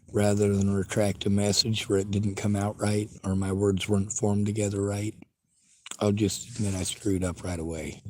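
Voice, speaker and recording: A man's voice: on the low side, a little mellow, slight background noise. A man livestreaming, reading viewer chat and speaking bluntly and earnestly in a casual monologue. He has a stutter and a speech impediment.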